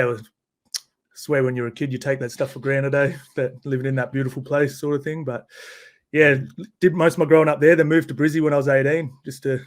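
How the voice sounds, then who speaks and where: A young Australian man, a podcast guest, chatting in a laid-back, slangy way and laughing often. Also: mid-range voice. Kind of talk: casual chat.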